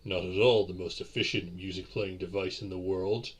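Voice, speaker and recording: A man playing a courtly, centuries-old vampire boyfriend in a romantic audio roleplay, speaking in formal, old-fashioned, deliberate phrases. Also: deep voice, fairly even intonation, slightly rough. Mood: wistful, gentle.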